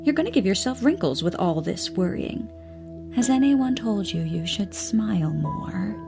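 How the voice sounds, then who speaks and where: A self-assured scientist in an audio drama, teasing and sly. Her voice has noticeable creak at a middle pitch, and she talks with breezy sarcasm. Music plays clearly underneath her. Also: expressive, measured pace. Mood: breezy, sardonic.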